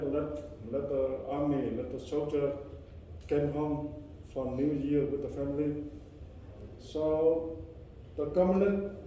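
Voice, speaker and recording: An older man from Vietnam with a Vietnamese accent, telling his story as a refugee in halting English full of repeats and restarts. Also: on the high side, warm tone, slight background noise. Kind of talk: personal testimony to an audience. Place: room with listeners. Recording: dull, roomy sound from a distance.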